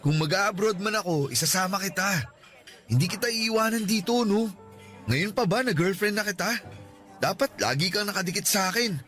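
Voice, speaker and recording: Tender, high-voiced young boyfriend played by a man for radio drama, over faint music. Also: normal speed. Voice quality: soft-spoken and tender. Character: young man Apollo, gentle devoted boyfriend. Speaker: voice actor.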